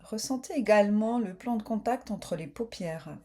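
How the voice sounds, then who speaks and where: A woman yoga nidra teacher guiding a relaxation in a calm, soothing, unhurried way, with slow spoken instructions. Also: mid-range voice.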